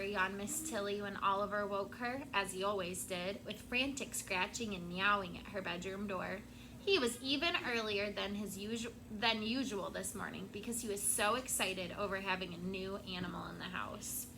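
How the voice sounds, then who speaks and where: A woman teacher reading a picture book aloud to her class, expressive and stopping to prompt the children. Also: mid-range voice, background noise.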